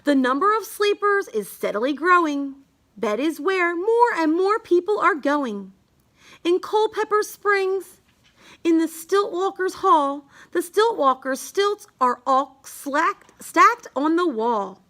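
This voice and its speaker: Female storybook reader, extremely high voice, unhurried singsong delivery of children's verse. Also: expressive, fairly bright tone. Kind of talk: read-aloud of a rhyming children's picture book.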